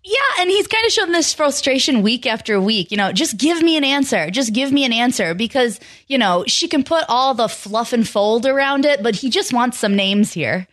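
A woman's voice: a little high, expressive, normal speed, few pauses, a bit bright. A woman co-hosting a recap podcast, talking wryly and laughing easily. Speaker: podcast co-host. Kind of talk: casual recap podcast chat.

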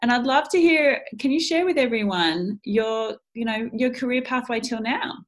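A woman hosting an interview podcast, reading a measured introduction and then asking her guest friendly questions. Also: fairly high pitch. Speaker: podcast host.

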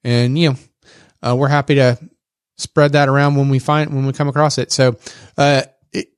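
A man's voice: mid-range voice, flat delivery, moderate pace, clean tone, dry recording, studio quality. A man with a Texas accent, co-hosting a tech podcast in a rambling, casual way with many fillers and restarts. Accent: Texan. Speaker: podcast co-host.

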